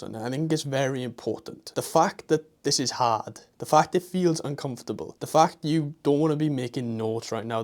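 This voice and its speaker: A man's voice: medium pitch, darkish tone. A young man with a Welsh accent, giving motivational online business advice straight to the viewer, pushy and encouraging. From Wales.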